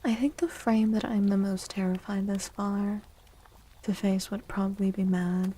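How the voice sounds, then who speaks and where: Soft breathy lady doing asmr stream chat, mellow and half whispering, takes her time, playful, close on the mic. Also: mid-range voice, steady tone. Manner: playful, unhurried, repeats phrases. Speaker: livestream host.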